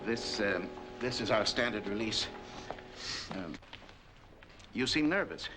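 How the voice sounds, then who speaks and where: An actor playing a formal, courteous bank official in a 1940s film, with a dry, measured manner. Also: male voice, on the low side, lively intonation, over music. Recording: noisy 1940s film soundtrack.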